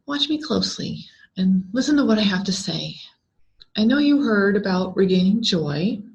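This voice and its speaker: A woman voicing a ghostly female reflection in a horror story, deliberate, tempting and persuasive. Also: mid-range voice, expressive, vocal fry, darkish tone. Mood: coaxing. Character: ghostly female mirror double, tempting and coaxing. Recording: dull, lo-fi sound.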